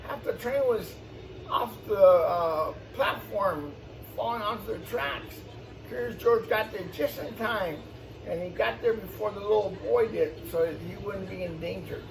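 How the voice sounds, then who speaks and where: High-voiced man reading a picture book. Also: measured pace, vocal fry. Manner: exclamatory, explaining to young listeners. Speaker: storytime host reading to children. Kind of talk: animated picture-book read-aloud with asides. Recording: distant mic, faint background noise.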